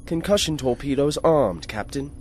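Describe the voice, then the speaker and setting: A man voicing a passive-aggressive spaceship artificial intelligence, deadpan and dryly obedient, in a retro radio drama. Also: medium pitch, moderate pace, music in the background, natural voice. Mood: dryly sarcastic. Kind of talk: 1950s space radio serial acting.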